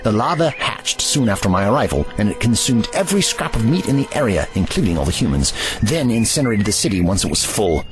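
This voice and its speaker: Man playing a grave old-soul time traveller, low voice, wordy and formal, audio drama with music under. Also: sing-song, low-quality recording. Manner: formal, measured, pompous. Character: grave, erudite ancient male alien time traveller.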